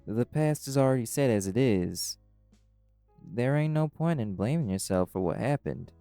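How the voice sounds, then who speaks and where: A man playing a calm, caring were-dog pack alpha in an audio roleplay, gently reassuring a friend. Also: medium pitch, moderate pace, no echo, faint music behind, natural voice. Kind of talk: audio roleplay acting, intimate comforting talk.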